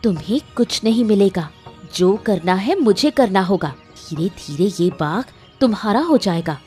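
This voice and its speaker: A mellow-voiced village wife from a cartoon moral story , acted by a woman, emotional and calculating. She speaks with earnest, deliberate drama in a mid-pitched voice, over faint music. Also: animated, natural voice. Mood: scornful, pushy.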